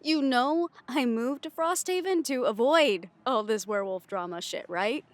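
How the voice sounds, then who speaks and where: A woman's voice: fairly high pitch, lively intonation, moderate pace, no room sound, lightly acted. A woman playing a cautious, frank werewolf second-in-command in an audio drama, with a questioning, dryly sardonic manner. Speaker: voice actor in audio roleplay. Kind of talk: scripted audio roleplay drama.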